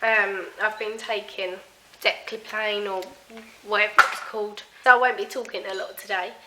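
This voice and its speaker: A woman, hoarse and croaky from a sore throat, chatting casually to her camera at home with plenty of ums. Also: mid-range voice, steady tone, heavy background noise. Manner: chatty, many ums, unhurried. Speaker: family vlogger. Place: at home, indoors.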